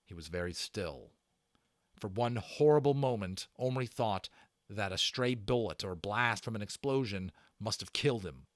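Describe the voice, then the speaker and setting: A man's voice: fairly low pitch, expressive, moderate pace, dry recording, clean studio sound. A man giving a dramatic narration of a children's book as a storyteller.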